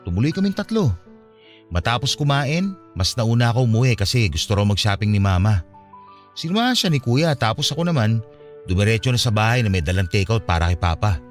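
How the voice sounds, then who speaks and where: A man's voice: lowish voice, natural voice. A man acting an earnest young man who confides a painful family past in a conversational radio drama style. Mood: confiding, troubled. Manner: conversational, confessional. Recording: music behind the voice.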